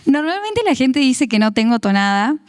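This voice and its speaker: Young Tucumán woman, smooth and clear. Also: high-pitched, big pitch swings, normal speed, a little mellow, dry recording, studio quality. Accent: Tucumán Argentine Spanish. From Argentina, Tucumán. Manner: chatty, laughs often, many fillers. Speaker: guest giving testimony. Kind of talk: casual testimony interview.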